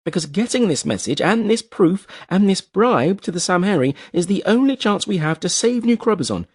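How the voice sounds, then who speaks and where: Male narrator doing a desperate, scornful woman, high and husky. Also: big pitch swings, moderate pace, exaggerated voice. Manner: pleading and scornful, voice pushed well up in pitch. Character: frightened, bitter adult woman, desperate and scornful. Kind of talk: audiobook character voice.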